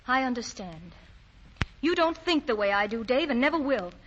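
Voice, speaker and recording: A muffled, faintly noisy vintage radio recording of a devoted young bride-to-be. Her voice is bright, slightly high and earnest, with old-fashioned dramatic delivery. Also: very animated, normal speed. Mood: hurt, passionate. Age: young adult. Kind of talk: 1940s radio acting.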